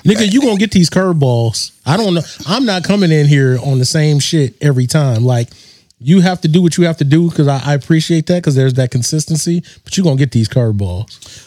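A man's voice: fairly high pitch, lively intonation, fairly quick, darkish tone, no echo. Blunt, profane man co-hosting a podcast. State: voice slightly off. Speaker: podcast co-host. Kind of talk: casual pop culture podcast chat.

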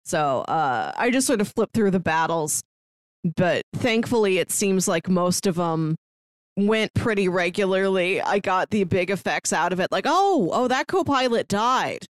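A woman's voice: medium pitch, lively intonation, measured pace, no room sound. Casual female podcast co-host, dry close sound. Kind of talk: casual book discussion.

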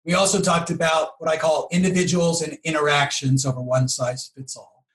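A man's voice: fairly high pitch, flat delivery, brisk, fairly bright tone, rough recording. A man speaking conversationally as an expert guest in an interview, explaining his points and checking in with rhetorical questions.

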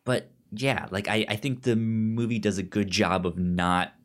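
A podcast co-host chatting casually about films and comics, halting and often stammering over words. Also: mid-range voice. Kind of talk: casual film and comic discussion.

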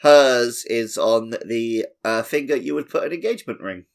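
A man's voice: medium pitch, animated, moderate pace, vocal fry. A man co-hosting a podcast in a casual, jokey and informal way. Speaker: podcast co-host. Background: music.

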